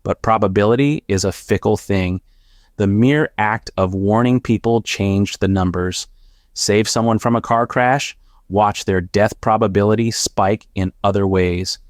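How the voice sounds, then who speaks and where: An actor playing a sardonic, haunted former risk analyst in an audio drama, narrating in a measured, wry, confessional way. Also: male voice, on the low side, dry recording, studio quality, close to an everyday voice. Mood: weary, bitter. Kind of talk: first-person dramatic audio fiction narration.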